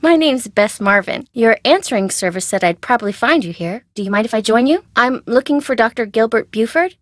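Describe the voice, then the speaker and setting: An American actress playing a perky, curious, chatty young woman amateur sleuth in a video game, with an expressive, girlish manner. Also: a little high, no room sound, clean studio sound, lightly acted. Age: young adult. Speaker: voice actor. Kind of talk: video game character dialogue.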